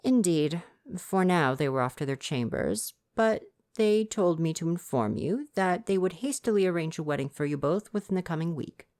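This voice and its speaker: A man acting a fussy, expressive royal butler. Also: fairly high pitch, moderate pace, hardly any pauses. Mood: formal, dry. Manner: formal, fretful, proper servant's diction. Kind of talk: ASMR roleplay acting.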